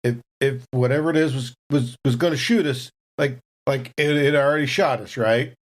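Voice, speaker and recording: A man voicing a nervous rifleman trying to keep calm in a role-playing game, halting and repeating his words. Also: mid-range voice, close to an everyday voice.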